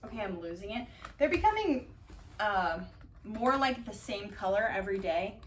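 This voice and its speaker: A woman doing a casual ASMR-style storytime, reading aloud and drifting into dry, deadpan asides. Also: mid-range voice, moderate pace. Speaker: cat vlogger reading bedtime picture books aloud. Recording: distant mic, roomy background noise.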